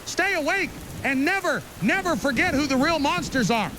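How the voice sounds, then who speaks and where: A man's voice: extremely high pitch, moderate pace. A man playing an angry, paranoid conspiracy broadcaster in a zombie apocalypse, delivering a defiant, accusing dramatic monologue. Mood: defiant, rousing. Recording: hissy recording with steady rain noise. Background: rain and hiss.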